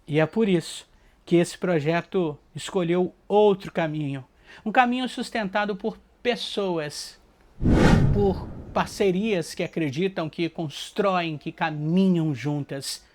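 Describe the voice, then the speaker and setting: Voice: medium pitch, slow pace. A narrator with a Brazilian accent delivers reflective scripted narration in earnest, short, listed phrases. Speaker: book project organizer and narrator. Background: background music.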